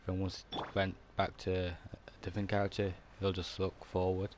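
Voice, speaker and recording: A man's voice: deep voice, completely flat delivery, normal speed. A man chatting casually as he streams a video game, in a rambling, laid-back way. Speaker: gaming streamer. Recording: faint background noise.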